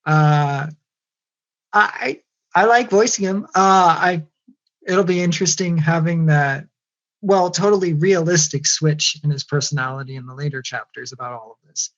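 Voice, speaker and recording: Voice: medium pitch, moderate pace, lo-fi sound. A voice actor guest in a casual podcast chat, hesitant with many ums and frequent giggles.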